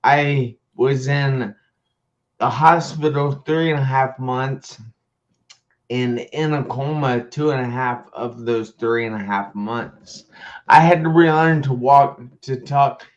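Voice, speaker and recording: A man's voice: medium pitch, slow, dull audio. A man hosting a live online talk show, talking in an upbeat, enthusiastic way. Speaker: host.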